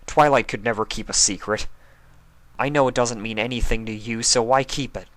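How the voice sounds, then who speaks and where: A man reading a fanfic aloud and voicing a heartbroken, bitter young male pony in a loud, angry way. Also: medium pitch, normal speed, no echo, voice pushed up, natural voice.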